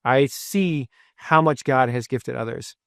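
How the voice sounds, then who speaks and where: A man's voice: mid-range voice, measured pace, vocal fry, fairly bright tone, no echo, clean studio sound. A man in his thirties, a pastor giving an earnest sermon with self-deprecating personal stories, sometimes retelling scripture in the first person.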